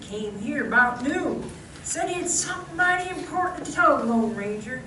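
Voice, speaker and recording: A woman's voice: high-pitched, expressive, normal speed, sharp tone. A woman playing a folksy, hearty male ranch hand with a western drawl in an old-time radio show. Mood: hearty, welcoming. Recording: echoey room from far off, faint noise.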